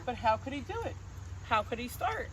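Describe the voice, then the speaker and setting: A woman reading a rhyming picture book aloud in a gentle sing-song cadence, recorded outdoors on a farm. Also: fairly high pitch, sing-song, fairly quick. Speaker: storybook reader. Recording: noisy outdoor recording with crickets.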